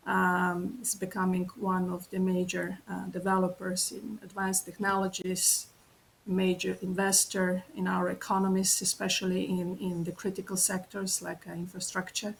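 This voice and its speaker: Female military panelist, formal and hesitant, frequent pauses, creaky voice, faint hiss behind. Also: lowish voice, completely flat delivery, low-quality recording. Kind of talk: panel discussion answer, formal.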